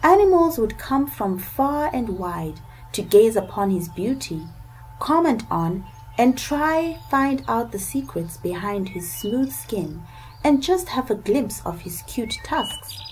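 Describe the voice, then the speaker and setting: Slow-paced woman storyteller, expressive. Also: a little high, a little hiss or hum, low-quality recording. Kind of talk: scripted folktale narration for children.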